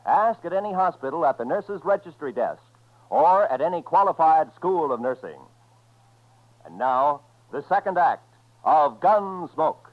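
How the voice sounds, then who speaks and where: Animated American vintage radio announcer, male. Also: a little high, a little slow. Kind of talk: 1950s radio announcing, scripted. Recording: muffled 1950s radio recording.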